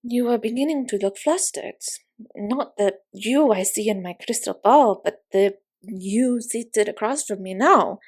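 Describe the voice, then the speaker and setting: A woman's voice: mid-range voice, a little slow, husky. A woman voicing a mysterious carnival fortune teller in a roleplay audio, theatrical and grandly insistent, with formal, portentous phrasing. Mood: portentous, mystical.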